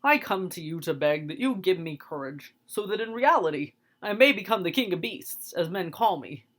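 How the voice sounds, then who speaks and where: A woman's voice: on the low side, expressive, normal speed, a bit bright. Woman playing an earnest cowardly lion. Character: timid yet proud male lion.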